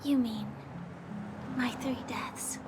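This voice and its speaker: An actress voicing a gentle, resolute young female warrior in a video game, soft, breathy and solemn. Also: fairly high pitch, fairly even intonation, slow, very noisy. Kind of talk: dramatic game voice acting. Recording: loud music over the voice. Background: loud game music.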